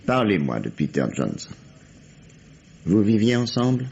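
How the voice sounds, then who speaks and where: A shrewd, sardonic detective in an old French radio mystery. His voice is low and swoops freely as he needles the suspect with condescending irony. The sound is dull and vintage. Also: sing-song, measured pace, gravelly, darkish tone, a little hiss or hum, full character voice. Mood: probing, firm.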